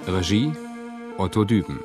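A man's voice: low-pitched, normal speed. A man reading credits as a formal, scripted radio announcer in a 1960s broadcast. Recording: 1960s radio, music behind. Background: music.

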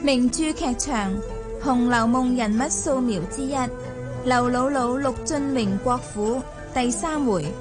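A woman with a Hong Kong Cantonese accent reading radio drama credits in a formal, measured announcer style. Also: on the high side, music in the background.

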